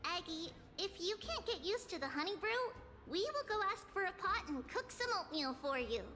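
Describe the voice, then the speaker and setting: A woman's voice: extremely high pitch, sing-song, normal speed, exaggerated voice. A woman voicing a playful, childlike girl teacher in a video game, teasing and friendly. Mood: teasing, caring. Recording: game audio under loud music.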